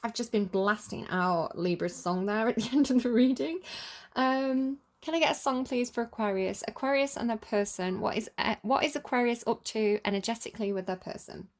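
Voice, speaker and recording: A woman's voice: on the high side, moderate pace. A woman with a Manchester accent giving a casual, chatty tarot reading to viewers, informal and hesitant as she thinks aloud. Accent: Manchester English. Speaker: tarot reader, channel host.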